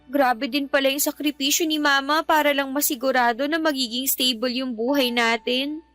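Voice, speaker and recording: Sweet high-voiced Filipina teenage daughter. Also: female voice, fairly even intonation, moderate pace, fairly bright tone, dry recording, faint music behind. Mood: earnest, wistful. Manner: respectful, earnest, sweet. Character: loving, dutiful nineteen-year-old daughter, a student. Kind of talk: Filipino radio drama acting.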